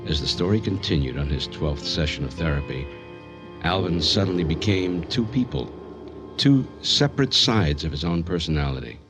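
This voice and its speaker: An actor playing a measured male therapist, narrating a case in an explanatory, clinical manner for radio drama. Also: low-pitched, animated. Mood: grave, clinical. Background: music.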